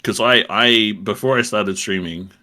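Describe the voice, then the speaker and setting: Low, bright-voiced laid-back podcast guy. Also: normal speed. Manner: laid-back, rambling, laughs often. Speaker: podcast co-host. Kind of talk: casual chat.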